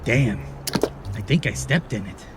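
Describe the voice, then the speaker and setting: An actor playing a gruff, world-weary bartender in a noir audio drama, teasing and fatherly, a man's voice. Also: medium pitch, animated, a little slow, noisy background, close to an everyday voice. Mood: weary annoyance. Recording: music behind the voice.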